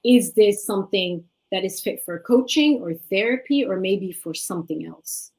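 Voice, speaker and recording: A woman raised in Sweden whose first language is Tigrinya, speaking as a life coach in an interview, reflective and measured with frequent fillers. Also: medium pitch, expressive, a little mellow. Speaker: life and leadership coach, guest. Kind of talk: interview conversation.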